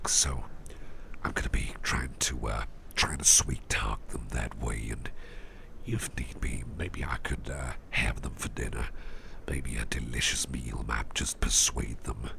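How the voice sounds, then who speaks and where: A man playing a doting, genteel businessman boss in an ASMR roleplay, speaking in a soft, breathy, gravelly half-whisper, unhurried, gently repeating phrases. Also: a bass voice, fairly even intonation, a little hiss or hum, heavily acted. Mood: confiding, scheming. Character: doting, genteel businessman boss, smooth-talking antique hunter. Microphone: close microphone.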